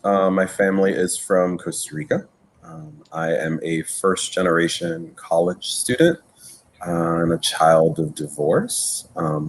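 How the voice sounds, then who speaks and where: A man from Miami speaking thoughtfully and openly as a panelist in a casual discussion. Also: sits low.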